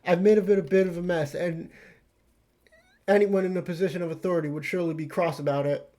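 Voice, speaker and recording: A man's voice: sits high, fairly even intonation, fast, mellow, natural voice. Haughty male troll aristocrat played by a man, pompous and curt, stilted formal delivery for a comic reading. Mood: stiff, disapproving.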